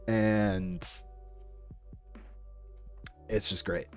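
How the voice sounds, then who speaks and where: A man's voice: fairly low pitch, steady tone, drawn-out words, soft background music. A young man answering interview questions about his project in a casual, chatty and informal way. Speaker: series creator being interviewed.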